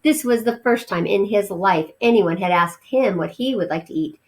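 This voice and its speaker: A woman reading a children's story aloud as a teacher would, expressive, pausing to explain words. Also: medium pitch.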